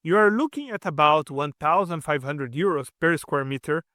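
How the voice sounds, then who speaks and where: A man with a somewhat high, ringing voice narrates a scripted video in slightly non-native English. He explains things earnestly and talks straight to his viewers, pressing key points by repeating them. The sound is dry and close, with no room echo. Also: animated, moderate pace, bright, forceful tone. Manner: emphatic repetition of key advice. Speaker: expat channel host.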